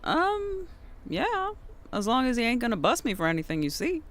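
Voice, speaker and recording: A woman's voice: on the high side, wildly swooping pitch, normal speed. A woman playing a laid-back, cynical drug dealer in an audio drama, speaking in slangy, blunt, teasing language. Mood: casual. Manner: slangy, drawling, blunt, philosophizing. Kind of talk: naturalistic audio drama acting.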